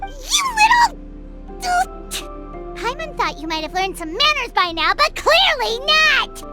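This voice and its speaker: A woman voicing a small, talkative fairy-like girl companion in a video game, squeaky and childlike, exclamatory and easily indignant. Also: very animated, unhurried, bright, forceful tone, faint music behind, heavily acted. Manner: refers to self in third person, exclamatory. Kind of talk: animated video game character acting.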